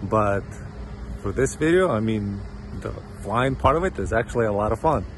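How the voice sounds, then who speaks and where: A man's voice: lowish voice, expressive, moderate pace, slight background noise. A man with a Ukrainian accent, losing his voice, chatting casually in a vlog from outdoors in a parking lot, thinking aloud with lots of fillers. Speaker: drone hobbyist vlogger.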